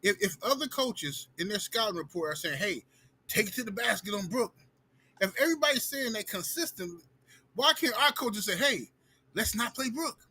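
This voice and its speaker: A man's voice: high-pitched, expressive, normal speed, sharp tone, husky, low-quality recording. A man giving his opinions on a sports stream, emphatic and calling people out directly.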